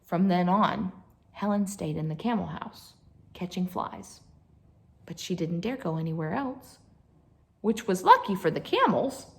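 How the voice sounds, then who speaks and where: A woman's voice: fairly low pitch, big pitch swings, a little slow, vocal fry. A woman reading a picture book aloud to children as a storyteller, expressively, with chatty asides to her listeners.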